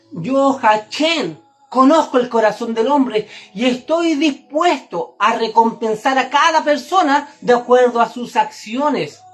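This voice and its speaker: A woman giving a religious teaching, speaking directly to the listener in an emphatic, didactic way and repeating phrases for stress. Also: sits high. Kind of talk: Torah and Kabbalah sermon, direct address to listener.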